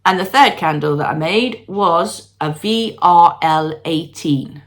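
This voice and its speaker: A middle-aged woman, her nose blocked from a cold, chats brightly to her viewers from home. Her voice sits a little low, and she jokes at her own expense as she goes. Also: slow. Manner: bubbly, self-deprecating, talks to viewers. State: congested with a cold, sniffly. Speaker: candle-making vlogger. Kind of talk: chatty home vlog. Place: at home, moving between rooms.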